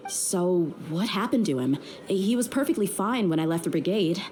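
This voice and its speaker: A woman's voice: on the low side, expressive, moderate pace, darkish tone, slight background noise, close to an everyday voice. An actress playing a tough, candid young female mercenary who speaks in a direct, earnest, polite way, over game music. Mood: worried.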